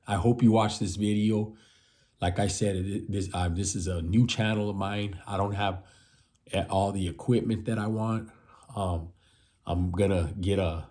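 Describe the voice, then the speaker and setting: A man's voice: deep voice, darkish tone. A man in his fifties giving rambling casual commentary, repeating himself and ending lines with "man". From United States. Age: 50s. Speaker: boxing channel host.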